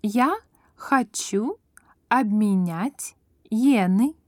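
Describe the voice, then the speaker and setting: A woman reads short lesson phrases word by word in a measured, very unhurried way, then says each phrase again in full. Her pitch rises and falls in a lively way, and the sound is dry and close. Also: no room sound. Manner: deliberate, each word spoken separately, then repeated whole. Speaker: language lesson speaker. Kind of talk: slow, careful phrase reading with repetition.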